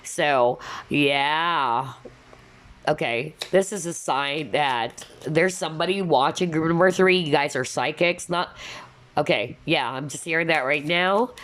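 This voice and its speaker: Low-voiced tarot reader, a bit nasal. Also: female voice, slight background noise. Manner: chatty, with many fillers and asides to viewers. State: slightly nasal, losing her voice. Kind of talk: casual pick-a-card tarot reading.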